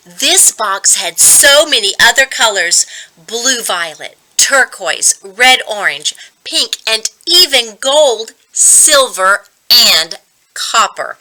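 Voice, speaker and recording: A woman reading a children's story aloud as a reading coach, deliberate and expressive. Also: a little high, rough recording.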